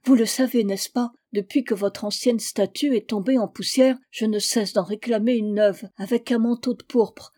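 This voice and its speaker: A woman's voice: a little high, dark tone. Narrator as a feisty, emphatic village woman. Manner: exclaiming, scolding, emphatic. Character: devout, feisty village woman, indignant, bossy, scolding.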